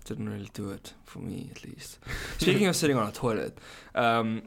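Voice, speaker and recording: A man co-hosting a podcast, chatting casually with swearing and telling anecdotes in an animated way, acting out quotes. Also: lowish voice, normal speed, gravelly. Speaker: podcast co-host.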